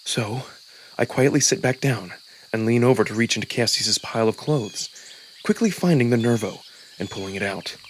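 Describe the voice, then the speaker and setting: A man's voice: medium pitch, normal speed, a little hiss or hum. A man narrating a visual novel in the first person, theatrical and immersed in the story. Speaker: narrator and voice performer. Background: music under the voice.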